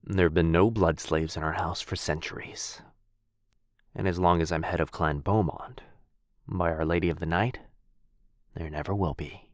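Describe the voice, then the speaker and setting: A man voicing a gentle, courtly male vampire lord in an ASMR boyfriend roleplay. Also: sits low, fairly even intonation, moderate pace, pauses often, no echo, clean studio sound, close to an everyday voice. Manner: soft-spoken, courtly and reassuring. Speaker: voice actor.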